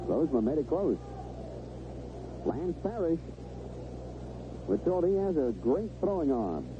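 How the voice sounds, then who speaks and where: A man's voice: fairly high pitch, big pitch swings, unhurried. A man calling baseball play-by-play live on the radio in clipped phrases, describing each play as it happens. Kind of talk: live radio sports commentary. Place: stadium radio booth. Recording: noisy dull 1970s AM radio broadcast.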